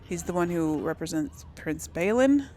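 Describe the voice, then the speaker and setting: A woman's voice: lowish voice, animated, normal speed, a little mellow. A woman hosting a casual video game let's play, rambling and musing to herself as she goes. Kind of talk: casual game commentary, thinking aloud. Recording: faint background noise.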